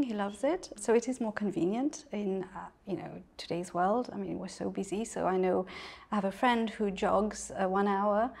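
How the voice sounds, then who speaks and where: Chatty middle-aged woman author with a gravelly edge. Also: medium pitch. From Mauritius. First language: English. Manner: warm, chatty, laughs often, many restarts. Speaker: author guest. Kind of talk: casual interview conversation.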